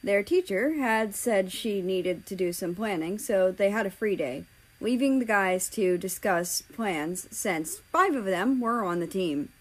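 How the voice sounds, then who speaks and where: A woman's voice: medium pitch, animated, a little slow, rough recording. A woman narrating fan fiction in an audiobook style, acting out the dialogue between passages.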